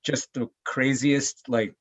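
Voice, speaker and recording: A man's voice: mid-range voice, steady tone, slow, husky. A man musician being interviewed, talking in a laid-back, hesitant way with ums. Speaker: band bassist, interview guest. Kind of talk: casual interview chat.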